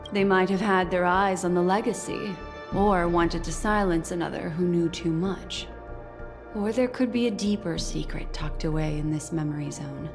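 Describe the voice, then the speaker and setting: An actress playing a composed, cryptic and shrewd woman guide in a video game, speaking in a measured, deliberate way. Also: on the low side, close to an everyday voice. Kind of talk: scripted video game dialogue.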